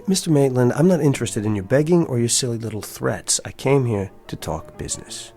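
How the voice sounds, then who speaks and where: An actor playing a persistent suitor in a TV drama, talking in a deliberate, persuasive way. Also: male voice, mid-range voice, expressive, dark tone, soft background music, natural voice. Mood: cool, businesslike. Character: persistent, calculating suitor bargaining with his sweetheart's father. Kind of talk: scripted TV drama acting.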